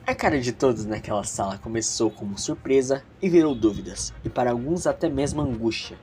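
A man's voice: mid-range voice, expressive, fairly quick, a little mellow, a little hiss or hum. A man with a Brazilian accent narrating an audio drama in a theatrical, confiding way with chatty asides.

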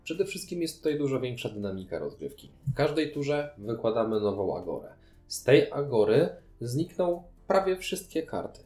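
Mellow man in an echoey room. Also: medium pitch, big pitch swings, moderate pace, soft background music. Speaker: podcast co-host reviewing board games. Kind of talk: casual chat, board game review. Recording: roomy echo.

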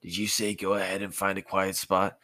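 A man's voice: low voice, flat delivery, moderate pace, bright, forceful tone, no echo. A man voicing a lazy, sleepy adolescent ninja boy in a storybook, offhand in manner.

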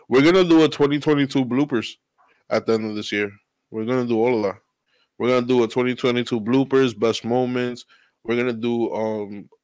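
A man's voice: medium pitch, dry recording. A man talking as a podcast guest and DJ, laid-back and rambling, swearing freely in casual chat. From New York. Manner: laid-back, profane, rambling with "you know".

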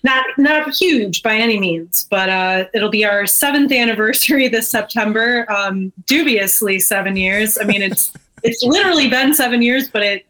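A woman's voice: fairly high pitch, normal speed, sharp tone, rough recording. A woman, a small business owner, chatting casually as a guest in an interview. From Chicago area, USA. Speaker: brewery co-owner, podcast guest.

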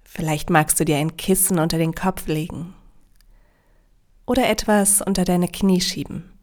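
A woman leading a guided yoga nidra relaxation in a calm, soothing, measured way with long pauses. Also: lowish voice.

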